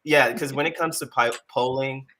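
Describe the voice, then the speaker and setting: A man's voice: mid-range voice, fairly even intonation, normal speed, bright, forceful tone. A man in a casual talk show debate, arguing his point and pushing back on the hosts. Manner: argumentative, pushes back.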